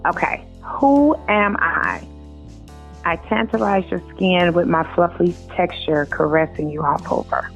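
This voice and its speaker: A woman's voice: fairly low pitch, gravelly. A woman author speaking informally as a guest, recorded in dull lo-fi audio with music behind her. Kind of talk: reading aloud and informal interview talk.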